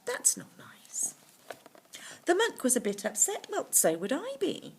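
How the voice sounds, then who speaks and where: A woman reading a bedtime story to children, pausing to explain things simply in little asides. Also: high voice, wildly swooping pitch, in a whisper. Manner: explains words simply to young listeners.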